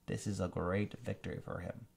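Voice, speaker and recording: A man voicing a noble alien warrior prince who speaks slowly and formally. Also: sits low, fairly even intonation, creaky, a little mellow, breathy edge, full character voice. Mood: calm. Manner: slow, formal, measured.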